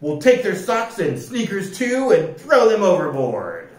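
A man's voice: sits high, huge pitch swings, unhurried, voice pushed up, full character voice. A man voicing a blustering, boastful pirate captain who roars at his crew. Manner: blustering, roaring. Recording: echoey, from a distance.

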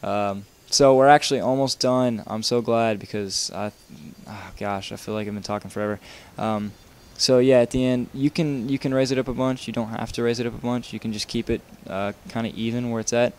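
A man's voice: medium pitch, fairly even intonation, fairly quick, hardly any pauses, a little mellow, smooth. A young man talking casually over his gameplay while showing how to build a track, rambling with lots of fillers and restarts. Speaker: video game tutorial maker.

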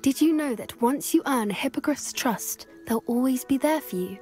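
A woman's voice: sits high, expressive, moderate pace, warm tone. An actress playing a determined young woman who protects a hippogriff, in a video game. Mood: earnest. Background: faint game music.

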